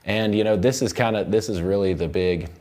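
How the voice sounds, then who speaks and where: A man's voice: on the low side, fairly quick, darkish tone. A man teaching music production in an informal tutorial, rambling loosely and thinking aloud as he works. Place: indoor recording studio.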